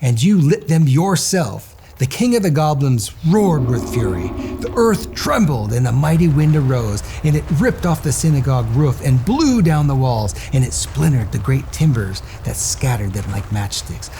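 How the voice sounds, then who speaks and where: A man's voice: medium pitch, very animated, normal speed, hardly any pauses, dark tone, slight background noise, low-quality recording. A man reading a children's story aloud as host, in a theatrical, suspenseful way.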